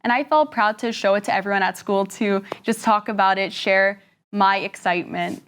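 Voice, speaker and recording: A young woman in her late teens from Canada, a pageant titleholder, giving earnest, measured interview answers. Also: medium pitch, a bit bright, slight background noise.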